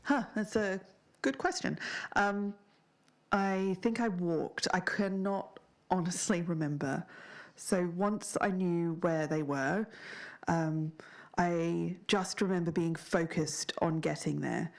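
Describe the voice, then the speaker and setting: An actress as a thoughtful woman recalling something uncanny for a podcast drama. She speaks slowly and hesitantly, with a low-set voice full of creak. Her delivery is understated and reflective. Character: thoughtful woman recounting a strange otherworldly experience. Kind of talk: halting first-person recollection in interview format.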